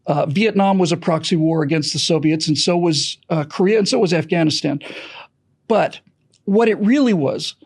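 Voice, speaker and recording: A man's voice: on the high side, normal speed. An American man explaining things in an interview in an emphatic, storytelling way. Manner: emphatic, dramatic storytelling with self-corrections. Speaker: podcast creator being interviewed. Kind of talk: animated explanatory interview talk.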